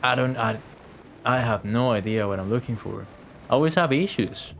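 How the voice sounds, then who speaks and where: A man's voice: medium pitch, lively intonation, moderate pace, a bit bright, a little hiss or hum. A young man doing casual livestream commentary over a video game, rambling and thinking aloud. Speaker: game streamer. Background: game music.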